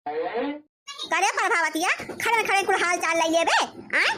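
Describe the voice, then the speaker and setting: Woman playing a very shrill, indignant Awadhi elder sister. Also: sing-song, unhurried, background noise, heavily acted. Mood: indignant scolding. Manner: scolding, complaining, rhetorical questions. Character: bossy, easily offended elder sister visiting as guest. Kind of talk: rural family comedy cartoon.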